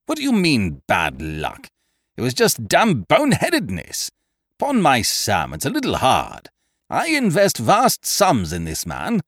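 A man voicing a blustering male schemer who booms and rants in exasperation. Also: huge pitch swings, normal speed, fairly bright tone, no room sound, clean studio sound, heavily acted. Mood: indignant. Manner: booming, exasperated ranting. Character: blustering loud male schemer, booming and indignant.

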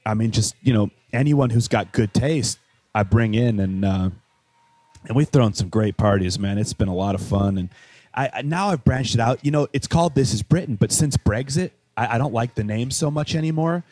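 A man's voice: medium pitch, moderate pace, darkish tone, no room sound. A man from Chicago with a Chicago accent, a musician giving an interview, talking in a loose, rambling way full of fillers and restarts. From Chicago, with Welsh roots. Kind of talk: casual interview chat.

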